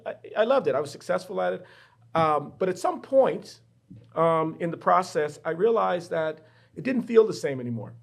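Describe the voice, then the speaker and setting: A man's voice: fairly high pitch, creaky. A middle-aged man from the Bahamas, a professor and guest in an interview, telling his story in a reflective, personal way. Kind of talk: candid interview conversation. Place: university studio.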